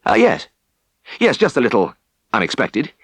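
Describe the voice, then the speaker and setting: A man's voice: medium pitch, wildly swooping pitch, moderate pace, vocal fry, husky, no echo, pitched above the performer's own voice, full character voice. A man voicing a breezy, easily flustered young man in an audiobook story, hesitant and defensive. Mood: rattled. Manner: hesitant, jumpy, swooping.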